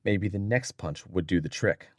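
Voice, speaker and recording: A man reading scripted adventure fiction as an audiobook narrator, in a dramatic, measured storytelling manner. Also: sits low, no echo.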